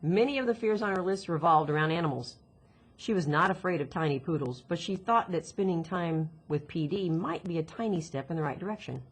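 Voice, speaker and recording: A woman's voice: on the low side, fairly quick, breathy edge. A woman narrating a children's novel expressively, reading from the text. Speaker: audiobook reader, teacher. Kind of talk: expressive scripted story narration.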